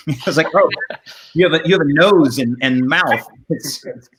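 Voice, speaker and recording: A middle-aged man hosting a casual theater chat over a video call, talking in halting, trailing phrases that he keeps reworking. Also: on the high side, fairly bright tone, low-quality recording. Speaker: theater host introducing cast. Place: indoors at home or studio, on a video call.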